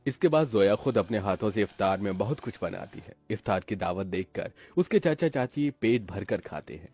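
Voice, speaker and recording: A man's voice: fairly low pitch, expressive, normal speed, darkish tone, no echo. A man narrating a cartoon family story in a dramatic scripted storytelling style.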